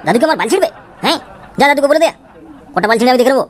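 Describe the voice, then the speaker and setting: A woman's voice: high-pitched, expressive, racing. A woman voicing an exasperated, foul-mouthed, sly father in a comic cartoon, grumbling and wheedling. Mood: angry, exasperated. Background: music.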